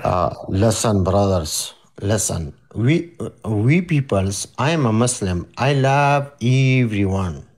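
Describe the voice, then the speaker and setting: An older man, not a native English speaker, arguing in a heated video-call debate, halting and pleading with many fillers. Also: fairly low pitch, animated, darkish tone.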